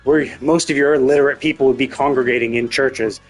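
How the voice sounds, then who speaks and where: Guy streaming a game, rambly thinking out loud, normal pitched voice, music in the back. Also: fairly even intonation, fairly quick, breathy edge, rough recording. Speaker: live streamer. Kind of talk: casual gaming livestream commentary.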